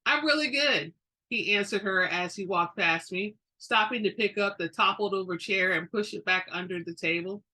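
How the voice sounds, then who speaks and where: A woman reads fiction aloud with theatrical flair. Her voice is bright and sharp, at a medium pitch, and her delivery is expressive. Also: moderate pace, hardly any pauses, low-quality recording. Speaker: book reader and channel host. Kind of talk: dramatic audiobook narration.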